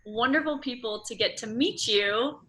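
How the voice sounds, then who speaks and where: Bright-voiced woman interviewer, some background noise. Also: fairly high pitch, moderate pace, poor audio. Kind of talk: casual conversational interview.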